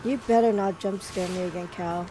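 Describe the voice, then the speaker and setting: A woman streaming live, chatting casually and gushing, her voice a little hoarse, with frequent restarts. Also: fairly low pitch, fairly even intonation, a little fast, rough recording. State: slightly hoarse.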